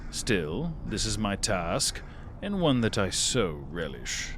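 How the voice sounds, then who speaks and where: Smug theatrical cat narrator for dark audio fables, real slow and savoring, voice swoops around. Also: male voice, fairly low pitch, big pitch swings, noisy background. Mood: relishing, sinister. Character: sly, theatrical cat guide relishing grim tales. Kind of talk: scripted audio fiction narration.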